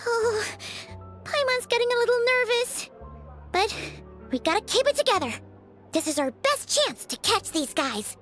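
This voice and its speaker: A woman voicing a tiny, excitable girl companion in a video game, who talks about herself in the third person. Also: very high voice, normal speed, full character voice. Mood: excited. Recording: game audio over loud music.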